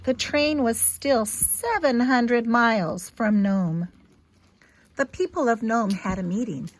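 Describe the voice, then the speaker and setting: A woman reading a children's picture book aloud in an expressive, teacherly way, with simple asides to young listeners. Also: a little high, slow, no echo, poor audio.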